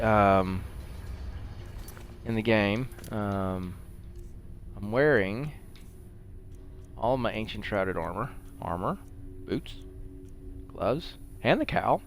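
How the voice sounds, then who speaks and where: Deep-voiced man chatting over a game. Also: a little hiss or hum. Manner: rambling, talks to himself. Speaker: gaming video host. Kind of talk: casual game commentary while playing.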